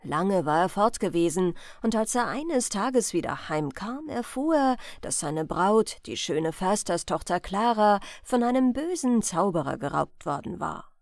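A woman in her thirties reading a bedtime fairy tale as an audiobook host, with unhurried, expressive storytelling, close on a studio microphone. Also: mid-range voice, no room sound. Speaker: audiobook reader and channel host. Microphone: large studio microphone, close.

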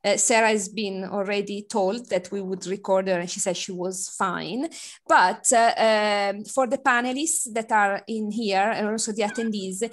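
A woman's voice: mid-range voice, steady tone, moderate pace. A woman in her forties hosting a seminar over a video call with a headset, chatty and hesitant with many fillers. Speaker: seminar host. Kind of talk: introducing a speaker on a video call. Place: home room by shuttered window.

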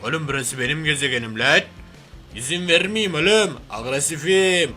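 A man puts on a crude, hot-headed oaf from a comic game cartoon. His voice is sharp and a bit raised in pitch, full of brash, slangy grumbling and threats. Music plays behind him. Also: animated, normal speed. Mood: angry, boastful. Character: crude, hairy, hot-headed Turkish oaf playing superhero.

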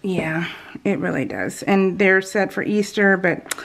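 An American woman chatting casually as she narrates a craft project, rambling and giggly, with slightly unusual soft R sounds. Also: lowish voice, lively intonation, measured pace, creaky. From California, US. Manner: giggly, rambling, self-interrupting. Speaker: craft vlogger. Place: indoors at home, at a craft table.

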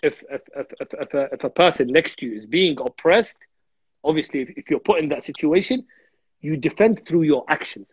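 A man's voice: a little high, animated, brisk, breathy edge, no echo, highs cut off. A man in his thirties from Iraq, a guest speaker in a religious discussion on a video call, earnest and repeating words as he thinks. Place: home room on a video call.

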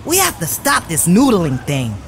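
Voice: medium pitch, wildly swooping pitch, normal speed, gravelly, slight background noise. An actor voicing an eager, earnest young dog boy in a cartoon rap game. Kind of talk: simple echoed rap lines and cartoon dialogue. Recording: loud backing music.

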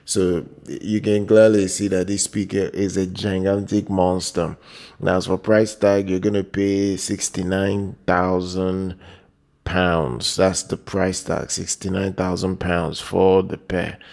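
Very deep, monotone, gravelly male presenter. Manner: halting and repetitive, with drawn-out hesitations. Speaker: product news video host. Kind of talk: semi-improvised product news voice-over.

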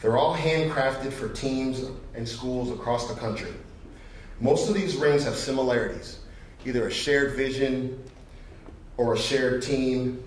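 Middle-aged male award presenter, folksy and conversational, mid-pitched, heard from a distance in a heavily reverberant hall. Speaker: guest presenter, company manager. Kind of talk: award presentation speech to an audience. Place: stage in an auditorium. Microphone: podium microphone. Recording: very echoey hall, distant, faint noise.

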